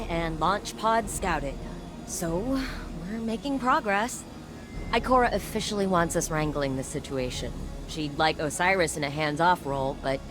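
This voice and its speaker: A woman's voice: lowish voice, natural voice. An actress playing a wry, upbeat young woman engineer who chats casually and cheers herself on. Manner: wry, chatty, self-encouraging. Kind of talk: video game cutscene dialogue.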